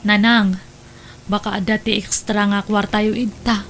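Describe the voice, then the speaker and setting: A woman's voice: medium pitch, little pitch movement, measured pace, music in the background, natural voice. A woman playing a resentful, needy younger sister with a grudging manner in a Filipino radio drama. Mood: wheedling. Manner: sullen, grudging. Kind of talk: Ilocano radio drama acting.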